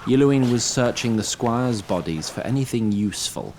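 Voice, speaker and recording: A man's voice: mid-range voice, expressive, normal speed, darkish tone, slight background noise. A man reading scripted storytelling narration as the narrator of an audio drama.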